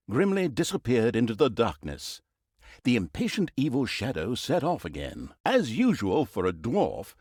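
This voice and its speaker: An actor playing a wry, mischievous storyteller in a fantasy game, narrating in a theatrical, mock-dramatic, sardonic manner. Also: male voice, medium pitch, no echo, studio quality. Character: wry, mischievous male storyteller mocking an evil fantasy saga.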